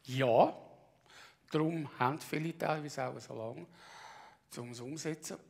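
A middle-aged or older man with a Bernese Swiss German accent, giving a halting personal account on stage and often clearing his throat. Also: on the high side. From Bernese Oberland, Switzerland. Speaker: practitioner giving a talk.

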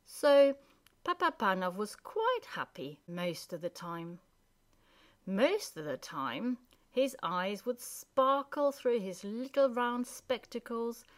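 Woman, children's story narrator, very unhurried, deliberate pauses, mid-pitched. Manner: deliberate, with pauses between phrases.

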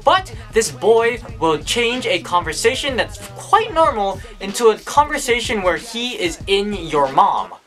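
A man's voice: high-pitched, sing-song, a little slow, hardly any pauses, bright, forceful tone, faint music behind. A young man in a casual, rambling vlog, talking about his day with crude jokes and self-mockery, filmed first in his bedroom and then by a camera mounted in his car. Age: young adult. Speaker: vlogger. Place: small cluttered bedroom, then inside a parked car. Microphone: camera on a desk, then a dashboard car camera.

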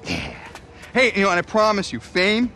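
An actor playing a boastful, pushy American paparazzo in a game cutscene, excitable, wheedling and stammering. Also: male voice, high-pitched, moderate pace, slight background noise, exaggerated voice. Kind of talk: video game cutscene acting.

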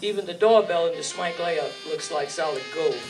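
Noir private eye, played by an older man: high voice, slangy, wry, hard-boiled radio style, picked up from far off. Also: moderate pace, close to an everyday voice. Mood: wry narrating. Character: wisecracking hard-boiled male private eye, world-weary. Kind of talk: 1950s noir radio acting. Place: staged reading in a community hall. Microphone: stand microphone, heard from a far camera. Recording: distant room mic, music.

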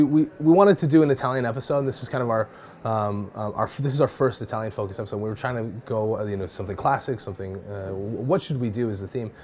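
A man in his thirties hosting a wine talk in a cellar, speaking with enthusiasm and quick restarts. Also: mid-range voice, a little mellow. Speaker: host. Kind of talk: casual chat.

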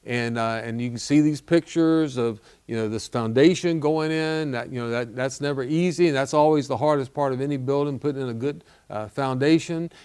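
A man's voice: medium pitch, fairly quick, few pauses, darkish tone, clean tone. Older male pastor, earnest fundraising appeal, tags lines with "okay?". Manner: earnest, repeats "okay?" and "all right?". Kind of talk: appeal for donations. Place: studio set with wooden wall backdrop.

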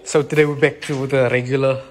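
A man's voice: medium pitch, flat delivery, a little fast. A young South African man vlogging casually in his home garage, laughing a lot and tossing in slang. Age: young adult. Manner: laughing often, slangy, says bruh a lot. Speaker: car vlogger.

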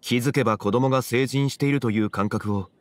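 Calm, wise gentleman, mid-pitched man acting. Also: fairly quick, no echo, lightly acted. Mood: composed. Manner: formal, archaic, composed. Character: calm, wise, courteous gentleman with old-soul gravity. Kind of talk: Japanese anime game dialogue.

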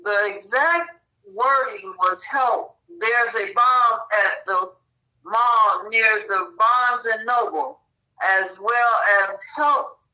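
Woman co-host, slow careful reader, bright tone, muffled lo-fi audio. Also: on the high side. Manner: halting, stumbles over names. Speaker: co-host reading the news report. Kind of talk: reading a news article aloud.

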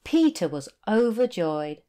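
A British woman teacher narrating a children's storybook for learners in a deliberate, expressive way. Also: mid-range voice.

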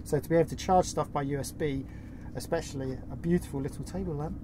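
A man's voice: a little high, fast. A middle-aged man talks keenly and casually about his favourite boat gadgets. He sits outdoors in a sailboat cockpit. A faint outdoor hiss sits under his voice. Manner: enthusiastic, chatty. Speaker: sailing vlog co-host. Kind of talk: casual chat presenting gear.

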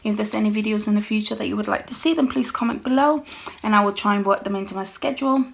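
A woman's voice: mid-range voice, fast talker, vocal fry, a little hiss or hum. A woman in her thirties chatting casually to her phone camera at home while tidying, rambling with friendly asides to viewers. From United Kingdom. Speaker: home cleaning vlogger. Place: small home, bedroom and hallway. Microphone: handheld phone camera at arm's length.

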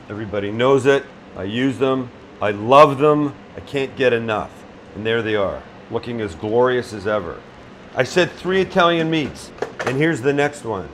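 A man's voice: mid-range voice, slight background noise. A middle-aged man hosting a casual cooking demonstration in a small restaurant kitchen, joking bluntly and swearing freely. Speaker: chef and cooking show host.

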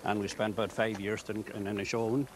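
A man's voice: fairly low pitch, level tone, fast talker. An older man with a Donegal accent, a native Irish speaker, chatting casually and hesitantly as an interviewee. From County Donegal, Ireland. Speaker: local interviewee. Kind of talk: casual chat. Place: outdoors on a lakeside road. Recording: outdoor ambient noise.

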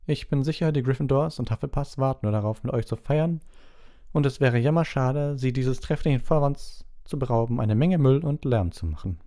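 A man voicing a kindly old wizard headmaster for an audiobook, speaking in a measured, courteous and gently authoritative way. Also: medium pitch, no echo, clean studio sound, voice pushed up, natural voice.

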